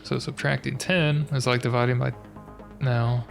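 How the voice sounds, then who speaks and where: Young guy streaming a puzzle game, gravelly and a bit stuffy-nosed, mumbling through logic, game music clearly playing behind. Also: medium pitch, steady tone, normal speed. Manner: hesitant, muttering, working through logic step by step. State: stuffy nose, a bit nasal. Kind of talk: thinking aloud while live-streaming a puzzle game.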